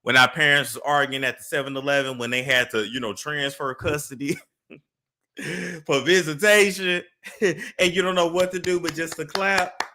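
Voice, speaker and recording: A young man with a high, bright voice hosts a gossip commentary over video clips. He mocks what he sees with quick jokes and laughing asides. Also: steady tone. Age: young adult. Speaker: commentary host.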